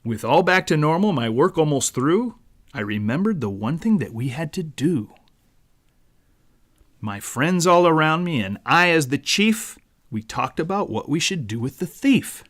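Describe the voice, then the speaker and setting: A man narrating a rhyming children's story as its author, in a dramatic, deliberate storyteller's manner. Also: fairly high pitch, sing-song, fairly bright tone. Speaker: author reading own book.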